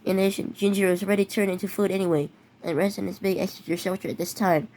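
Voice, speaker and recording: A voice in a fan-made emergency alert, reading warnings haltingly, with frequent restarts and stumbled words. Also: female voice, mid-range voice, fairly even intonation, a little mellow. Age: teenager. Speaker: mock emergency alert announcer. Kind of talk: improvised fake emergency broadcast.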